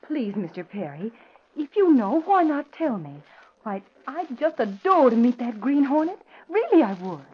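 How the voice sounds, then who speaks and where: A woman's voice: high-pitched, big pitch swings, moderate pace, breathy edge, full character voice. An actress playing a teasing, gushing, mock-innocent young American woman in a 1940s radio drama. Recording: dull vintage radio recording.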